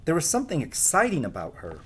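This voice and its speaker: A man reading a storybook aloud to young listeners, expressive, with casual asides spoken straight to them. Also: fairly high pitch, fast talker, husky.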